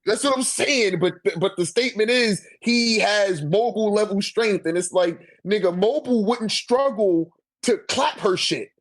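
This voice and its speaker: High-voiced man in a slangy debate. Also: normal speed. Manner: slangy, profane, repeats "you know what I'm saying". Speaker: debater on a manga ranking podcast. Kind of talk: casual debate chat.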